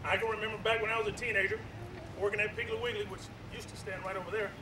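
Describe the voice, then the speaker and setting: A Marine officer giving a measured, earnest and formal tribute speech at an outdoor memorial ceremony. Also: male voice, high voice, flat delivery, a crowd in the background. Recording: distant echoey PA with traffic noise.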